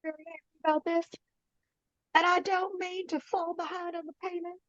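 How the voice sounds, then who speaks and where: A woman's voice: very high-pitched, flat delivery, moderate pace, fairly bright tone, dry recording. A woman playing a meek, folksy poor man pleading over his debts in a live dramatic reading. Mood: pleading, anxious. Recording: dull, dry video call track.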